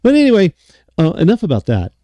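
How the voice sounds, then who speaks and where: A man hosting a casual podcast chat, his voice deep and raspy from a cold, rambling and self-mocking. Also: huge pitch swings, fast, mellow, no echo. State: hoarse from a cold. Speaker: podcast host.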